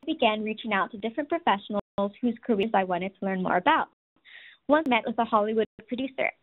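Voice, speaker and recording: A soft-spoken woman in her twenties giving a scripted presentation, telling stories and speaking directly to listeners. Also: a little high. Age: 20s. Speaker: webinar presenter. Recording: tinny phone-like audio.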